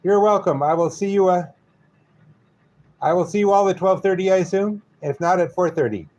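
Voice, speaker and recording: High-voiced Brooklyn man chatting. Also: normal speed, a little mellow. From New York. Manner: chatty, joking with viewers. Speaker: streamer. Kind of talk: casual live-stream chat.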